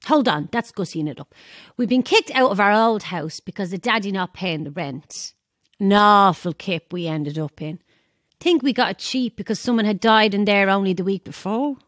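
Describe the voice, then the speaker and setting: A woman's voice: mid-range voice, normal speed, a bit bright, no room sound, studio quality, lightly acted. An actress with a Dublin accent playing a down-to-earth, gossipy woman in an audio drama, frank and wry as she chats. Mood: frank, confiding. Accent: Irish, Dublin. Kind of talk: audio drama, casual banter and storytelling.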